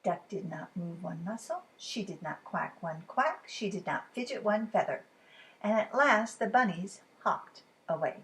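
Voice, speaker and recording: A woman reading a picture book aloud to children in a dramatic, expressive way, at times dropping to a hush. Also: medium pitch, unhurried, a little hiss or hum. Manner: dramatic, dropping to hushed whispers. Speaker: children's storytime reader.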